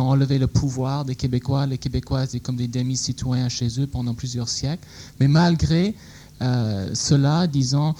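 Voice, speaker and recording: Man, warm mellow voice, hesitant, fillers. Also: medium pitch. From Vancouver, Canada. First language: English. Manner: hesitant, many fillers like "disons". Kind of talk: audience question at a talk.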